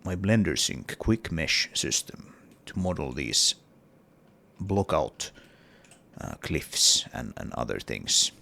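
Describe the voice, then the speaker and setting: A man's voice: a bass voice, very animated, slow, gravelly, bright, forceful tone. A man with a cold and a partly lost voice, talking casually through his game level in a hesitant devlog with many fillers. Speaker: indie game developer.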